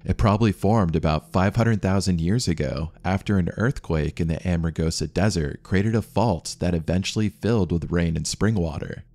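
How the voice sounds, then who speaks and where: A man with a very low voice reads a scripted documentary narration. He keeps a measured, steady storytelling pace throughout.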